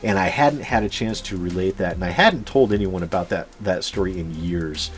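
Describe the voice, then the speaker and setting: A man's voice: deep voice, normal speed. A man telling personal anecdotes casually as a channel host, swearing freely and chuckling as he goes. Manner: sweary, chuckles through his stories. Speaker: YouTube storyteller and channel host.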